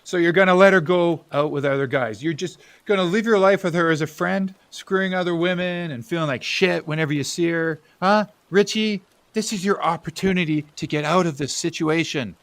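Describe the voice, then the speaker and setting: Male actor as struggling, bitter family man, earnest older brother in radio drama, higher-pitched male voice, dry close sound. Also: a little fast, few pauses, a bit bright, no room sound. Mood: confrontational. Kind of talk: audio drama acting.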